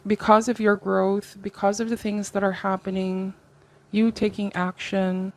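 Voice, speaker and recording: A woman's voice: mid-range voice, little pitch movement, a little slow. Woman giving a reflective tarot reading. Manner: reflective, advisory, seeks agreement with tags like okay and right. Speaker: tarot reader, host. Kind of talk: conversational tarot reading, addressed to the viewer.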